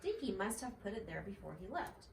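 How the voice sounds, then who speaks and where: Mom reading a kids story out loud, medium pitch, echoey room, a little noise behind. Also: female voice, big pitch swings, fairly quick, gravelly. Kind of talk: children's story read-aloud. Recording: echoey, slightly distant, faint noise.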